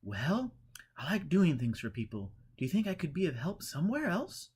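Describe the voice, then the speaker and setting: An eager, muscular fellow full of joy and gratitude, acted out by a male narrator in a storybook reading. His voice is pushed high and gushes with earnest excitement. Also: moderate pace, husky, full character voice. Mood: grateful, eager. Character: eager, muscular reformed drinker, joyful and grateful man. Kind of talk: audiobook character voice.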